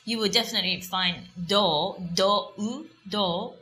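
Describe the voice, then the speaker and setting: A woman's voice: mid-range voice, unhurried, sharp tone. A woman co-hosting a language lesson, patiently explaining words and repeating them to teach.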